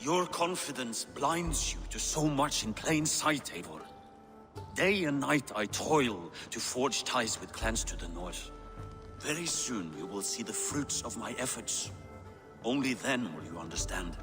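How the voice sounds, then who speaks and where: Brief: man as an aging Viking ruler, lively rise and fall, lordly and careful, score behind. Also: mid-range voice, natural voice. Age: older. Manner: measured, persuasive, lordly. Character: older Viking king, cautious and paternal. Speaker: game character. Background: game score music.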